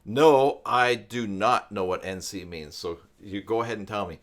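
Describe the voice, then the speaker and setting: A man's voice: on the low side, normal speed. A man hosting a casual live tutorial, thinking aloud and rambling as he demonstrates and chats with viewers. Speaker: live stream host and web tutorial instructor. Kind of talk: casual live screen-share tutorial with chat.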